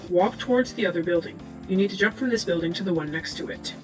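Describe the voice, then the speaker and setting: A woman's voice: lowish voice, quick pace, close to an everyday voice. A woman playing a bossy male supercomputer in a boy's head, giving dry instructions in an amateur comic dub. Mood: commanding. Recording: amateur old-device recording, music behind.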